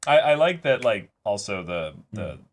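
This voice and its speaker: Low-pitched man chatting animatedly. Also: normal speed. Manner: animated, rambling, acts out imagined dialogue. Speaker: reaction show co-host. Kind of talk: casual excited chat about an anime episode.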